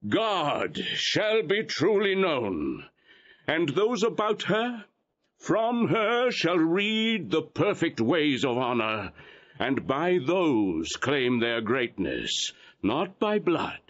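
Slow, solemn archbishop. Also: male voice, a little high, big pitch swings, a bit bright, highs cut off, heavily acted. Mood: solemn, prophetic. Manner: declamatory, reverent, oratorical. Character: solemn, exalted archbishop prophesying blessings. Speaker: actor playing an archbishop. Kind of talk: Shakespearean audio drama acting.

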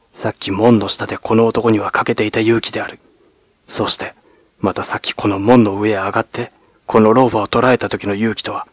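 A man from Kagoshima, Japan, narrating classic literature as a dramatic audiobook in a grave, measured manner. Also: lowish voice, no echo. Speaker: actor, narrator. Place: at home, close and dry.

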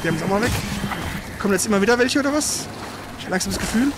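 Male let's player, casual and excitable, colloquial live game commentary over clearly audible game noise. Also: high voice, rapid-fire. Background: loud video game sound.

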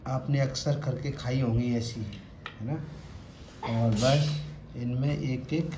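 A man's voice: medium pitch, steady tone, slow pace. Guy in his 30s doing a chatty kitchen cooking vlog, echoey tiled room, bit of hiss. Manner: chatty, addresses viewers as friends. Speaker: cooking vlogger. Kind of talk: casual home cooking demo. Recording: roomy tiled kitchen, faint background noise.

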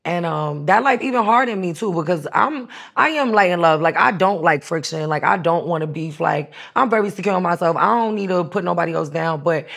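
Frank woman with a gravelly edge. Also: lowish voice, fairly quick, hardly any pauses. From New York. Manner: frank, animated, voices others in quotes. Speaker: guest. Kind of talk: candid interview storytelling. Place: studio set, indoors.

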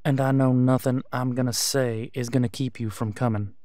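A man plays a steady, caring werewolf leader in an audio roleplay. His voice is medium in pitch, with a casual, folksy reassurance. The sound is dry, with no room to it. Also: moderate pace, dry recording, close to an everyday voice. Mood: concerned, tender. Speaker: voice actor.

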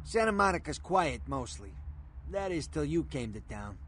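A man's voice: fairly high pitch, sing-song, normal speed, fairly bright tone, a little hiss or hum. A man voicing a street-wise, world-weary American underworld fixer, terse and matter-of-fact. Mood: matter-of-fact, guarded.

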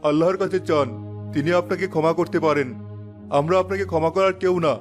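A righteous, admonishing village cleric from a cartoon, voiced by a man. He preaches quickly in a slightly high male voice, with faint music behind him. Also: steady tone, natural voice. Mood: scolding. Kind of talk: Bengali moral cartoon dialogue.

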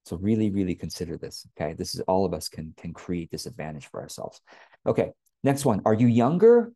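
A man in his late forties speaks with a Californian American accent in a soft-edged, mellow voice on the low side. He coaches informally, addressing the listener directly with animated emphasis. The sound is close and dry, picked up on an earphone mic. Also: a little fast, slightly rough, no echo. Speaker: business coach. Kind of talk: casual, conversational advice to camera. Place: small indoor room at home. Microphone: wired earphone headset on a webcam.

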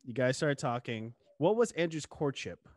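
A young man hosting a casual interview podcast from a home studio, talking with his hands into a boom-arm mic. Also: moderate pace, no room sound. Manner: animated, talks with his hands. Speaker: podcast host. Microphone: studio mic on boom arm, headphones.

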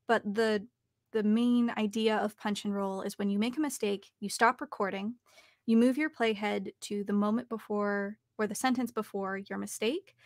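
A woman's voice: mid-range voice, gravelly, no echo, clean studio sound. A young woman giving casual, explanatory advice as an interview guest. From Canada. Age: young adult. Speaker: guest, professional audiobook narrator and voice actor. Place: home recording booth, indoors. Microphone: studio microphone on a boom arm.

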